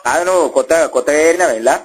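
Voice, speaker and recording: A man's voice: a little high, racing. A man with a Telangana Telugu accent on a phone call, complaining to a helpline in a repetitive, argumentative, foul-mouthed way. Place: telephone call.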